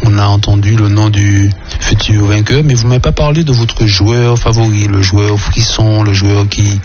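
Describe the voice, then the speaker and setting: A man's voice: lowish voice, steady tone, moderate pace, darkish tone. A man hosting a conversational interview in a radio style. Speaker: host. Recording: dull lo-fi sound, faint music. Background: faint music.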